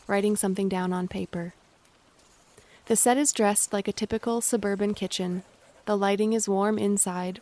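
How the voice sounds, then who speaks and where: A woman's voice: medium pitch, a little mellow, close to an everyday voice. A woman narrating an audio drama, reading out scripted stage directions.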